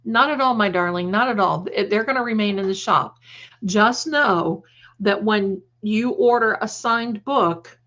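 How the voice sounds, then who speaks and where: A woman's voice: medium pitch, measured pace, fairly bright tone. Female livestream host, mid-range voice, casual and rambling, effusively affectionate with viewers; slightly dull audio. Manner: rambling, repeats phrases for emphasis. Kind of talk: casual live chat with viewers.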